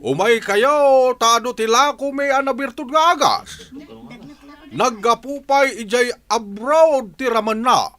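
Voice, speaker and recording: A man's voice: very high voice, takes it slowly, sharp tone, full character voice. A man playing a scheming, cowardly fake-medicine peddler in a radio drama, exclaiming and blustering with an Ilocano Filipino accent. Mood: hawking.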